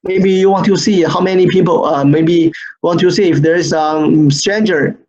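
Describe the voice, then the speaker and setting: A man who speaks English as a second language presents an online product training, repeating phrases haltingly and often checking with "right?". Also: a little high, steady tone, a little mellow. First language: not English. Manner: repetitive, halting phrasing with frequent "right?" tags. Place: screen-share online session. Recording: dull lo-fi online webinar audio.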